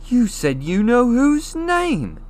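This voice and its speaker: A man voicing a glum, self-deprecating young boy wizard in an animated, grumbling way, for a storybook. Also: extremely high pitch, takes it slowly, pitched above the performer's own voice, heavily acted. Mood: shocked.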